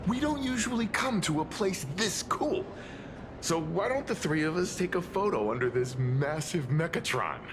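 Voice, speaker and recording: A man playing a mature, scholarly gentleman in a video game, speaking politely and formally with boyish enthusiasm underneath. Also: high-pitched, expressive, normal speed, noisy background. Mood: good-humored. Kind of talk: anime-style video game voice acting. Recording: music behind the voice.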